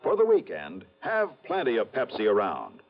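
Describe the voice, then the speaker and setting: A dull old radio recording with faint music features a cheerful, easygoing pitchman. His husky voice swoops widely through a vintage commercial delivery. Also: huge pitch swings, normal speed. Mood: upbeat selling. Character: cheerful, easygoing male pitchman in a soft-drink ad.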